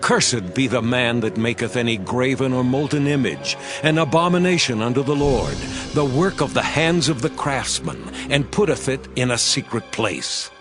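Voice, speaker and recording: A man's voice: medium pitch, animated, moderate pace, few pauses, over music, lightly acted. Man as stern priest with sweeping intonation. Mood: stern condemnation. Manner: stern, declamatory. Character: stern Levite priest pronouncing curses. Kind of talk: dramatized King James Bible reading.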